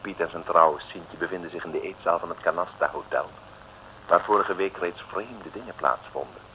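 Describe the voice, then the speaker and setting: A man's voice: low-pitched, moderate pace, bright, forceful tone, a little hiss or hum, poor audio. A man narrating an old comic radio serial in a wry, playful storytelling manner with conversational asides.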